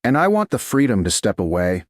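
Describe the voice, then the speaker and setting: A man voicing a composed, resolute noblewoman in a romance story, speaking quietly and evenly. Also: fairly low pitch, very animated, fairly quick, no echo, clean studio sound. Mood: steady.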